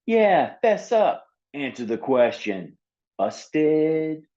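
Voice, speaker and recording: A man's voice: on the high side, very animated, very slow, mellow, highs cut off, voice pushed up, full character voice. A man voicing a demanding, hot-tempered young girl in a picture book read-aloud.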